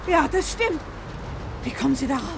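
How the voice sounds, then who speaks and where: A woman's voice: sits high, lively intonation, moderate pace, gravelly, a little hiss or hum. A woman playing a shrewd, agitated woman novelist turned sleuth in a German radio drama, speaking urgently and emphatically. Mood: puzzled, probing.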